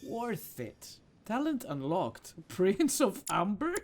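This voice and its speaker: A man's voice: sits high, sing-song, slow. A man giving casual, theatrical and exclamatory commentary while playing a video game.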